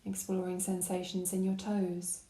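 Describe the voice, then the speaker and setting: Mellow woman guiding a meditation. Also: lowish voice, roomy sound. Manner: gentle, unhurried instruction with calm phrasing. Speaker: meditation guide. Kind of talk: guided body scan meditation narration.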